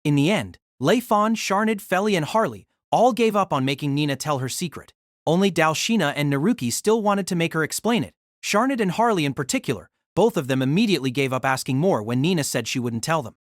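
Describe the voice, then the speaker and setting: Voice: medium pitch, lively intonation, brisk, no room sound, clean studio sound. A narrator reading scripted third-person story narration for an audio drama.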